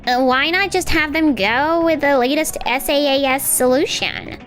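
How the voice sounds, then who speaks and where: A woman's voice: high-pitched, normal speed, a bit bright, faint music behind. A woman playing an eager, unsure young new hire in an anime-style manga dub.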